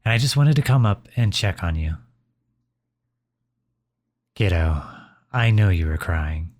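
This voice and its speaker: A man acts out a middle-aged dad in an ASMR audio roleplay. His voice sits low and he takes his time with each phrase. The manner is tender and reassuring, that of a caring father comforting his child up close. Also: expressive, unhurried. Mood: gentle concern. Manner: tender, reassuring, softly chuckling. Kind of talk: ASMR roleplay, intimate acted monologue.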